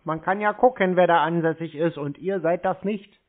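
A man's voice: a little high, normal speed. A man talking insistently on the phone, challenging the person on the other end in a confrontational conversation. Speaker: scam-baiting caller. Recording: tinny narrowband phone line.

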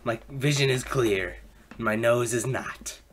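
A man voicing a creepy villain in a comedy fan dub, with low-key deadpan menace. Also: takes it slowly. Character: creepy, sniffly, quietly menacing male villain.

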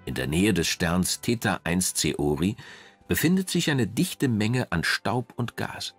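A man's voice: on the low side, lively intonation, a little slow, slightly rough, faint music behind. A man reading scripted documentary narration in German as the narrator.

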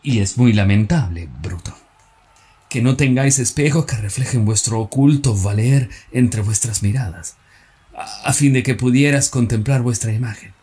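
A man's voice: medium pitch, mellow, husky, close to an everyday voice. A man in his forties playing Cassius, a scheming, persuasive Roman senator, in an earnest, insistent theatrical recitation that stumbles now and then. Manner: earnest, insistent, slightly halting declamation. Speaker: actor performing a stage monologue. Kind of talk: theatrical radio-drama recitation.

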